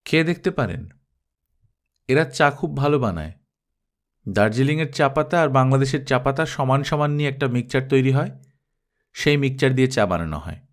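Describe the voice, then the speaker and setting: A man's voice: medium pitch, lively intonation, a little fast, long pauses, natural voice. A man voicing a composed young woman boss in an audiobook, speaking in a matter-of-fact, decisive way. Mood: casual, brisk. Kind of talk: audiobook character dialogue.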